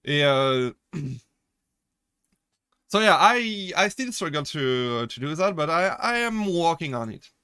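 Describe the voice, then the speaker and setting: A young man from France speaks with a French accent and a bright, slightly high voice. He chats loosely with his live-stream chat, often laughing and stumbling over restarts as he jokes. The sound is dry and close. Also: big pitch swings, takes it slowly, pauses often, clear, resonant tone, no echo. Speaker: VTuber streamer.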